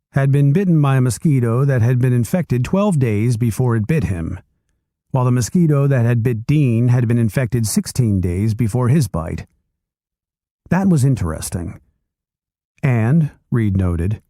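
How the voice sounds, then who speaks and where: A man reading a nonfiction book aloud in calm, measured storytelling narration. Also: medium pitch, no echo, clean studio sound.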